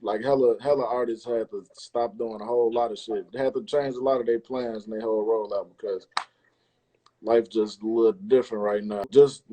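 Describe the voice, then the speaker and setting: A man's voice: on the low side, fairly even intonation, fairly quick, dark tone, a little hiss or hum, low-quality recording. A man chatting casually as an interview guest, using a lot of slang and swearing. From Chicago, United States. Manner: slangy, profane. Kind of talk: casual chat.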